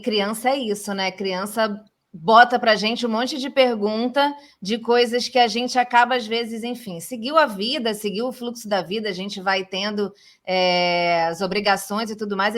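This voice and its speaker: A woman's voice: mid-range voice, moderate pace, fairly bright tone. A woman interviewing a playwright, reflecting casually before asking her next question. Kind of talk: conversational interview.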